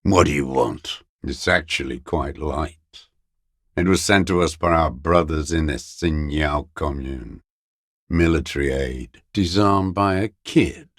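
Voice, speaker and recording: Bitter old communist soldier for a game, super low n slow, gravelly, sneers at everyone. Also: male voice, dry recording, studio quality. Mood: bitter, dismissive. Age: older. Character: bitter old communist deserter, male, scornful and unrepentant. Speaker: voice actor. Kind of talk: dramatic video game character dialogue.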